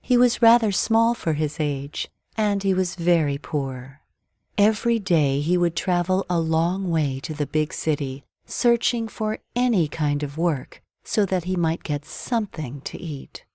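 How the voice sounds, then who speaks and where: A woman reading a children's bedtime story as an expressive storyteller. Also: fairly low pitch, a little slow, no echo, studio quality.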